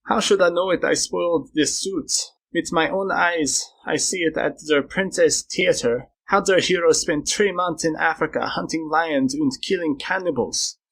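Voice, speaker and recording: A man's voice: fairly high pitch, moderate pace, vocal fry, hardly any pauses, fairly bright tone, voice pushed up, poor audio. A man voicing an agitated, fussy man who complains and exclaims in a querulous way, in a storybook reading. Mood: indignant complaining.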